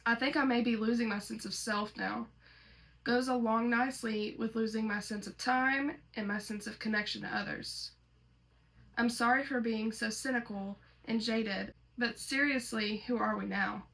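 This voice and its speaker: Creaky-voiced woman reading aloud. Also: a little high, steady tone, moderate pace, fairly bright tone. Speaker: host reading a horror story aloud. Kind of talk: casual read-aloud with commentary.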